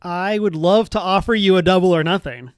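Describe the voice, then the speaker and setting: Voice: medium pitch, fairly quick, no room sound. A game master running a casual tabletop game in a playful, wry way.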